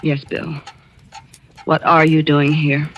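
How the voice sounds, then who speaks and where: A woman's voice: low voice, little pitch movement, slow, lo-fi sound. An actress playing a brave, earnest young woman standing up to a traitor in an old radio drama. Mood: tense. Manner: earnest, tense. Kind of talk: 1940s radio acting. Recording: old radio recording with faint hiss.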